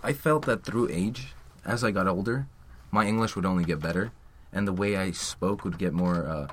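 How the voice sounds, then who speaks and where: A man whose first language is Armenian, chatting casually and crudely as a podcast co-host. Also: deep voice. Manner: quick, joking, crude banter. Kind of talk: casual chat.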